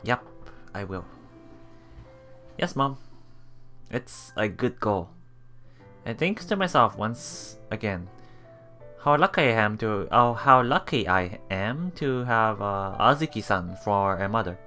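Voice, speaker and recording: Female let's player, very deep voice, unhurried read-aloud with giggly asides, music underneath. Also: expressive, pushed and bright. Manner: giggly, frequent laughing asides while reading aloud. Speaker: let's play streamer narrating a visual novel. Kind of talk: live reading and reacting to a game, casual. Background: game music.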